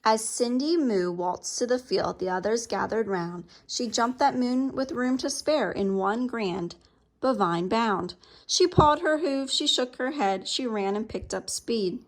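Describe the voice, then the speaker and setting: A woman reading a picture book aloud to children in an expressive storytelling style. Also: a little high, unhurried, rough recording.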